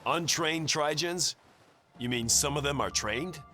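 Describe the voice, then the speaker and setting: An actor playing a sardonic, reluctant ex-soldier hero in a video game, with a dry, grumbling, sarcastic delivery. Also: male voice, on the high side, measured pace, over music, lightly acted. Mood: wary. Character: sardonic, reluctant ex-special-forces action hero. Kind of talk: video game cutscene voice acting.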